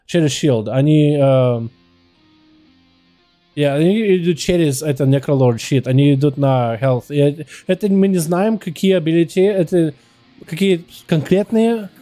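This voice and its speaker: Game streamer, male, American accent, hesitant mixed Russian and English, warm tone. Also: on the high side, soft background music. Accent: American, speaking Russian. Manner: halting, searching for words, switches between Russian and English. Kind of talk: casual livestream chat.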